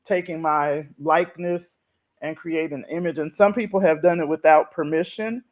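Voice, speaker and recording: A woman's voice: deep voice, fairly even intonation, normal speed, a little mellow. Woman, podcast host, casual conversation, earnest explanatory delivery. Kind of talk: casual chat.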